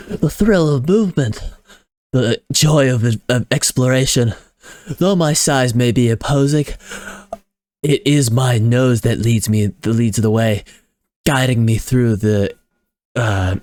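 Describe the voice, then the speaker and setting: A man's voice: medium pitch, takes it slowly, long pauses, a little mellow, no room sound, natural voice. A man voicing a large, big-nosed male creature who declaims theatrically in a nasal voice. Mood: enthusiastic. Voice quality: nasal, about-to-sneeze voice. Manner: theatrical, pompous stage declamation. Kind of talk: voice acting practice.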